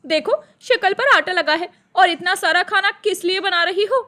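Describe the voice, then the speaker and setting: A woman's voice: extremely high pitch, animated, moderate pace, sharp tone, exaggerated voice. A woman playing a lazy, sardonic elder sister-in-law in a family story, teasing and theatrical.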